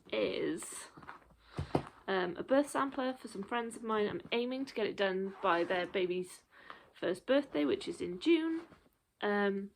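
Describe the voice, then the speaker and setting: Woman, 30s, rambling show-and-tell crafter, a bit breathless, gravelly edge. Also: mid-range voice, a little hiss or hum. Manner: chatty, rambling with many ums. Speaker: cross stitch vlogger.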